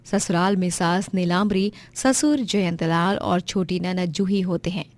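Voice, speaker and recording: A woman's voice: fairly low pitch, a little slow, no room sound. A woman storyteller giving a scripted narration of a moral tale.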